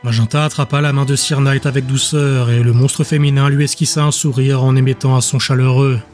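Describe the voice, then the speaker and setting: A man narrating a dramatic audiobook in a measured, literary storytelling manner. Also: mid-range voice, little pitch movement, darkish tone, soft background music.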